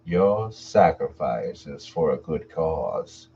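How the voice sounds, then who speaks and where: A man acts out an inhuman masked gentleman from a horror tale, with a very deep, dark voice. He is smooth, slow and soothing in a sinister way, on a slightly dull recording. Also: very animated, full character voice. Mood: calm, sinister. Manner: slow, hushed comforting. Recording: dull lo-fi sound with faint noise.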